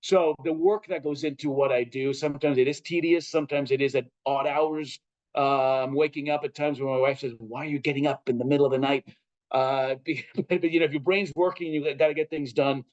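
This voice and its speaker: A man's voice: fairly high pitch, fairly even intonation, a little fast, a little mellow, dull audio. A man with an American accent giving expansive, articulate answers as an interview guest, in a conversational style. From Chile and Southern California. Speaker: interview guest, travel editor and broadcaster.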